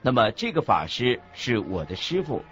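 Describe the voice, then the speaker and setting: Buddhist master, earnest, didactic radio drama delivery, expressive intonation, muffled audio. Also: male voice, medium pitch. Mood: earnest, instructive. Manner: didactic, measured. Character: earnest male Buddhist master teaching the faith. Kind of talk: scripted radio drama dialogue, expository. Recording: dull lo-fi audio with background music. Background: music.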